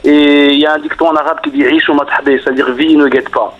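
A man from France phones in to a radio show on dull, lo-fi audio. His voice is fairly high, and he talks casually and haltingly, repeating words as he searches for the next. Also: flat delivery, over a noisy crowd. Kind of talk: casual live conversation.

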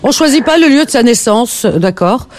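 A woman hosting a live radio phone-in, talking in a brisk, teasing and outspoken way. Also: a little high, animated, crowd noise. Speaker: radio host. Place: radio studio.

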